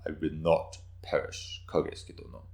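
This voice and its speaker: A man's voice: rumbling low, moderate pace, creaky, a little mellow. A man voicing a proud, defiant samurai warrior with stiff, formal speech in a dramatic reading of historical fiction. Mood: fierce, grim.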